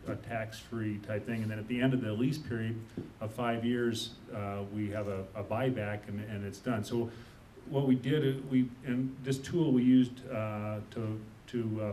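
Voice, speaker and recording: A man talking on a panel in a conference room, his speech halting and full of fillers. His voice comes from a distance on the room mic, over a faint low rumble. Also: dark tone. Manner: halting, many uh fillers and restarts. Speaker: panelist, business owner. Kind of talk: unscripted panel talk.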